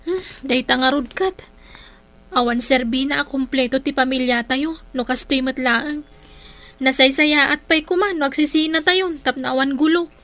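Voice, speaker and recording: Outspoken, exasperated Filipino daughter, Ilocano accent, high-pitched and bright, radio drama. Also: female voice, normal speed. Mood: fed up. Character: exasperated, outspoken grown daughter of a troubled family.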